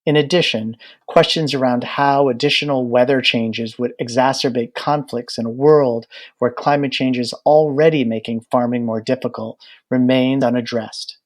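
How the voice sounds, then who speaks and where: A man narrates an audiobook from a prepared text. His voice has a medium pitch, and he explains the material in a measured way. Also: steady tone.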